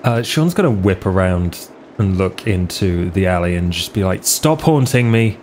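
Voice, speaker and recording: A man's voice: deep voice, animated, normal speed, darkish tone, slight background noise. A man playing in a tabletop roleplay podcast, improvising casually in an offhand, understated way.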